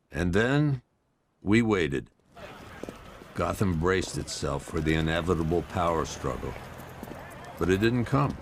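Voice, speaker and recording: Slow, deep, grim police chief. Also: male voice, slight background noise. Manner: grave, weary, deliberate. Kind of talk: dramatic scripted game narration.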